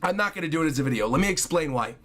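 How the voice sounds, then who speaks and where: A man hosting a casual livestream, reading viewer donations aloud and chatting in a hoarse, scratchy voice. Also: on the high side, expressive, rapid-fire, a bit bright. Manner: chatty, apologetic, thanks viewers often.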